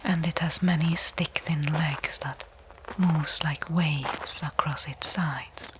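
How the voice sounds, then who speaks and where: A woman playing a tense stranded space explorer in a breathy, hushed ASMR audio roleplay monologue, phrasing deliberately with pauses. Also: sits low, fairly even intonation, noisy background. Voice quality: breathy, unvoiced whisper.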